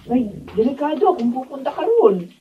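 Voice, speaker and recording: Brief: caring Filipino mom, very expressive, radio drama, distant muffled recording. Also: female voice, sits high, moderate pace. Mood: anxious. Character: worried, caring mother. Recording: muffled, distant, noisy studio camera audio.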